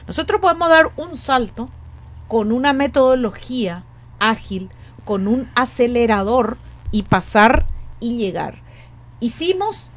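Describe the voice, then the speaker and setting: A woman in her late forties with a Paraguayan Spanish accent, a guest in an interview who speaks earnestly, repeating and restarting phrases as she thinks aloud. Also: on the high side. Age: 40s. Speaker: education academic, guest. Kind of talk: conversational interview.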